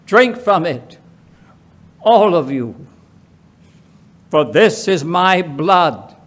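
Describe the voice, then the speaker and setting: A man reading Bible scripture aloud as solemn narration, in deliberate, measured phrases with frequent pauses. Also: sits high, fairly bright tone. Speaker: scripture reader.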